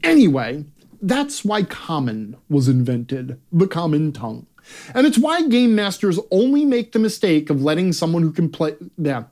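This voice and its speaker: Male, brash sarcastic columnist reading aloud, very lively swooping intonation, theatrical stresses. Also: on the high side, moderate pace. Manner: brash, profane. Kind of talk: scripted comedic rant read aloud.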